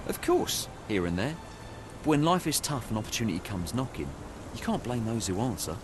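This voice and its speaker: An actor playing a stolid, dismissive police sergeant in a video game, blunt and unmoved. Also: male voice, medium pitch, sing-song, fairly quick, background noise, close to an everyday voice. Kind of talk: video game cutscene acting.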